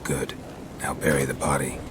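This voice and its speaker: An actor playing a gruff, stoic monster hunter in a video game, with a gravelly voice and a terse, deadpan delivery. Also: male voice, normal speed, background noise. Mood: stern, calm. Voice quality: low, gravelly.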